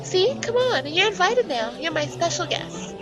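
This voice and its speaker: A woman voicing a bubbly, naive little noble girl in a visual novel, excitable and childlike. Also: extremely high pitch, normal speed, over music, pitched above the performer's own voice. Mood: excited.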